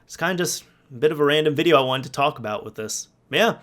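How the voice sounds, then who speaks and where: A man's voice: on the high side, animated, quick pace, a bit bright. Man giving casual video game commentary.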